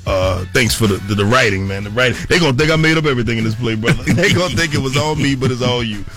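A man acts out a smooth old sugar daddy from a stage comedy. His slangy voice is dropped low and he comes across as a comical, broke old-timer, with faint music behind. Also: lively intonation, fast talker, fairly bright tone, lightly acted. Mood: smooth, grateful.

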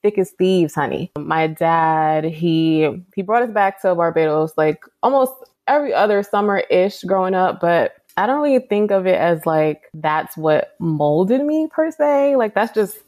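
A woman's voice: fairly low pitch, expressive, a little slow, few pauses, a little mellow. Playful Bronx woman guest. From the Bronx, New York. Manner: playful, joking, slangy. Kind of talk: casual chat.

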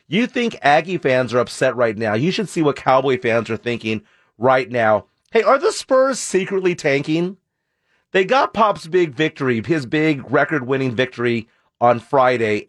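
A male sports radio host with a slightly high voice, talking in quick, upbeat banter. His voice is scratchy and hoarse from being sick. The studio sound is very dry and close. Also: dry recording. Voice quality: scratchy from illness. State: hoarse, ill. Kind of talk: casual sports radio talk.

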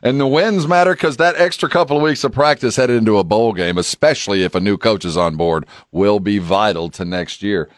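A man's voice: on the low side, a little fast, hardly any pauses, no room sound. Dry, close-sounding male sports radio host. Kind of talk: sports talk radio hosting.

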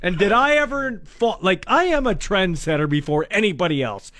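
Male morning-show co-host, high voice, dry close studio sound, self-mocking banter. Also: expressive, moderate pace, fairly bright tone, dry recording. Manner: self-mocking, laughs mid-sentence. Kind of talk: casual joking banter. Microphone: studio microphone.

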